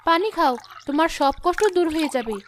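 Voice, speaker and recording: A woman's voice: very high-pitched, a little fast, slight background noise, voice pushed up. A woman voicing a sorrowful, kind-hearted outcast young wife in a cartoon fairy tale, with a plaintive, pleading manner. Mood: compassionate.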